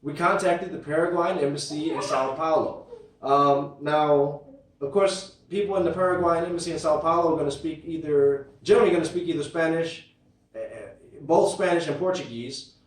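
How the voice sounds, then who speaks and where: A man's voice: fairly high pitch, normal speed, recorded from a distance. Chatty man in an echoey room. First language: English. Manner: chatty, many fillers and restarts. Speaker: vlogger. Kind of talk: storytime chat.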